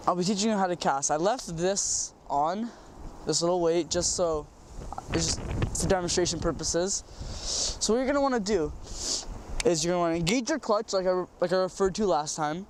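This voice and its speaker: A man's voice: sits high, slight background noise. A young man with a stuffy nose from a cold, rambling through a casual fishing how-to with lots of "um"s and repeats. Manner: rambling, repeats words, says um often. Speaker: fishing tutorial host. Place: outdoors by a small farm pond.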